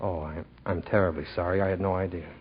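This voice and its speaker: A man's voice: deep voice, fairly even intonation, fast talker, lightly acted. A man playing a courteous, slightly hesitant novelist in 1940s radio drama style. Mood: concerned. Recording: muffled, noisy 1940s radio recording.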